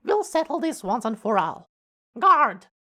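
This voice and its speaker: Woman as a high, pompous, outraged pony. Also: big pitch swings, unhurried, sharp tone, full character voice. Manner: pompous, theatrical. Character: pompous outraged female pony arguer, haughty and theatrical. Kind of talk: cartoon parody voice acting.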